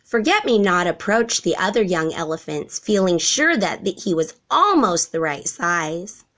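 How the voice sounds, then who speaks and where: A woman reading a children's storybook aloud in an expressive narrating style. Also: fairly low pitch, unhurried. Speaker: storybook reader.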